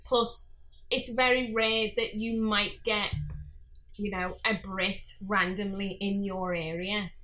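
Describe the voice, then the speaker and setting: A woman in her early twenties with a Scouse accent, telling anecdotes in casual, animated podcast chat. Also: mid-range voice, takes it slowly. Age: early 20s. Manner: animated storyteller who acts out dialogue. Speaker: podcast guest.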